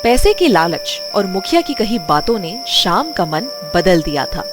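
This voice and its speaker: A woman's voice: medium pitch, big pitch swings, moderate pace. A woman reading scripted narration for a children's bedtime story. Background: music.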